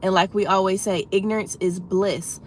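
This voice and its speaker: A woman's voice: medium pitch, steady tone, moderate pace, slight background noise. A woman hosting a casual livestream, a bit congested and froggy from a head cold, talking earnestly and encouragingly to her chat. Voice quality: slightly froggy voice. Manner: earnest, encouraging, talks straight to chat with many "you know"s. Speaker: livestream host.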